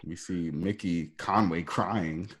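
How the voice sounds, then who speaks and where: Low-voiced man narrating stage directions. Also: steady tone, slow, slightly rough. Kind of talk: scripted narration of screen directions.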